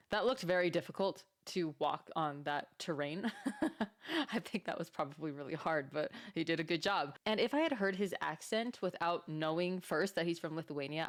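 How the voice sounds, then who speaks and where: Chatty American woman reacting. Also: on the low side. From United States. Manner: chatty, curious, easily amazed, laughs often. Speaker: reaction video host. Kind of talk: casual reaction commentary.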